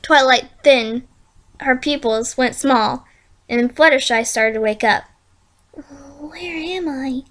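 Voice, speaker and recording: A woman's voice: on the high side, steady tone, measured pace, a bit bright. A female amateur reader narrating a fan-made story in storybook style.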